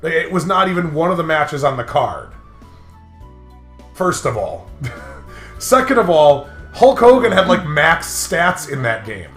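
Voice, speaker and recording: A man's voice: on the high side, very animated, unhurried, long pauses, a bit bright. A man casually bantering and telling gaming stories in an emphatic, exasperated way. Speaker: host of a gaming commentary video. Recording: roomy sound with music behind.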